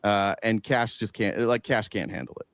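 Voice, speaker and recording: A man's voice: fairly low pitch, moderate pace, clean tone, dry recording. Dry, close-sounding man doing emphatic political commentary. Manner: emphatic, rhetorical questions, swears freely.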